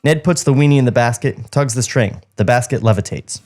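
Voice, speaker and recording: A man's voice: mid-range voice, a little fast, darkish tone, soft background music. A man co-hosting a podcast, reading script directions aloud with sarcastic asides.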